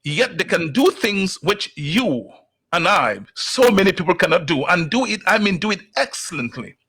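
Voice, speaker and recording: A man hosting a talk show, opening with a slow, emphatic motivational monologue before interviewing his guest. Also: high-pitched, very animated, bright, forceful tone, slightly rough. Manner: slow, deliberate, emphatic delivery.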